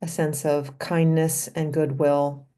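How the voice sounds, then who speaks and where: Female meditation guide: low, soft-edged mellow tone, gentle and unhurried delivery in short, spaced phrases. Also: flat delivery. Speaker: meditation leader and physician.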